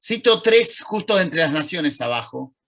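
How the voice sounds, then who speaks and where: Argentine male lecturer, gravelly voice, conversational, on a video call. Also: fairly high pitch, very animated, a bit bright. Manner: thoughtful, conversational, pauses to search for words. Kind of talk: online talk to an audience.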